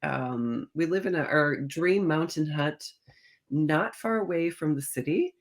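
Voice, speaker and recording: A woman's voice: low voice, expressive, a little slow. Middle aged lady author in an interview, chatty storyteller, does the back and forth dialogue. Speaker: guest, historical fiction author.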